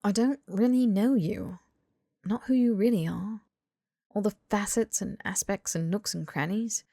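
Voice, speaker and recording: A woman's voice: medium pitch, a little slow, vocal fry, dark tone, dry recording, clean studio sound. A woman voicing an earnest, blunt young British man in audiobook dialogue, emphatic and pleading. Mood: earnest, tentative. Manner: emphatic, sweary, rambling tirades.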